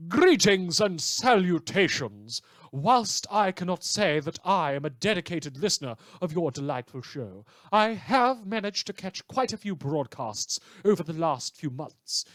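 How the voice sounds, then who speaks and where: A man's voice: sits high, very animated, a little slow, fairly bright tone, no room sound, heavily acted. A man voicing a haughty, aggrieved supervillain reading out an indignant letter in florid, formal, precise diction. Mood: haughty, concerned.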